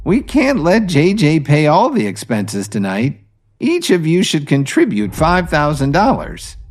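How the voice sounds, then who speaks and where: A woman's voice: deep voice, huge pitch swings, measured pace, darkish tone, voice pushed up, heavily acted. A man voicing a formal, insistent elderly family matriarch in an audio drama. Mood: apologetic, anxious. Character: commanding elderly family matriarch, anxious to please.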